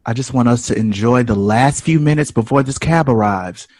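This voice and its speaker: An actor playing a reluctant, evasive, soft-hearted man in an audio drama, speaking hesitantly and placatingly. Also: mid-range voice, normal speed, lightly acted.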